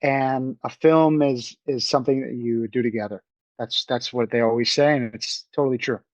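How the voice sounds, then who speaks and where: A young man from New York, a film director answering interview questions in a halting, restarting way. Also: mid-range voice, steady tone, no room sound. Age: young adult. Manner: halting, many restarts and fillers. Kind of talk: casual interview talk. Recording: dull dry lo-fi sound.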